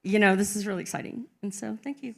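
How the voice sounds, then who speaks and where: A woman's voice: medium pitch, fairly even intonation, fast, creaky. A woman giving a chatty, informal conference presentation, often saying "y'all". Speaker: conference panelist. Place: conference room.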